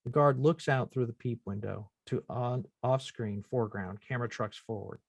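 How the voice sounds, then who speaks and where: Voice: mid-range voice, moderate pace, vocal fry, breathy edge, no room sound. A narrator reading out screenplay stage directions as scripted narration at a table read.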